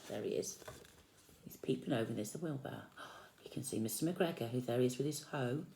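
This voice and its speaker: Low-voiced woman reading a storybook expressively. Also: echoey room, rough recording. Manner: expressive, explaining gently to children. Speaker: teacher reading a picture book to young children. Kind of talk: animated storybook read-aloud with explanatory asides.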